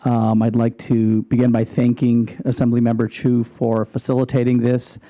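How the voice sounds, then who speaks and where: A man speaking as an imam at a public hearing panel, explaining his faith in a measured way with light self-deprecating humor. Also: mid-range voice, completely flat delivery, warm tone, no room sound.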